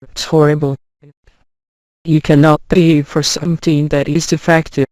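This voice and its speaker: Flat, highish, disapproving changed man's voice. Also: a little slow, no echo, clean studio sound. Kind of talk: casual review.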